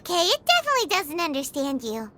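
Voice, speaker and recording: A woman voicing a tiny, helpful, childlike video game companion with a squeaky, excitable delivery. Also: fast talker, a little hiss or hum, heavily acted. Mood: puzzled. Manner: excitable, expressive. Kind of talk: video game character dialogue.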